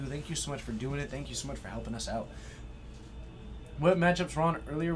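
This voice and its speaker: Excitable man hosting a game stream. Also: a little high, slight background noise. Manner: excitable, effusive and gushing with thanks. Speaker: stream host and match commentator. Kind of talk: live-stream card game commentary and chat shout-outs.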